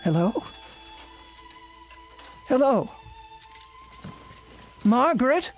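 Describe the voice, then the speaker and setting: An elderly, housebound woman in a radio drama, fretful and self-pitying. Her prim, demanding voice has a creaky edge. Faint music sits behind her. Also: high voice, big pitch swings, drawn-out words, exaggerated voice. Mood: anxious.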